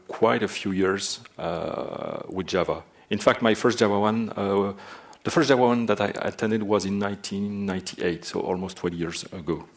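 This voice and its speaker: A man with a Belgian French accent presents a technical session from a large conference stage into a stage microphone. His voice sits a little low, and he pauses often with filler sounds as he explains. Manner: hesitant, many filler sounds. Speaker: conference speaker.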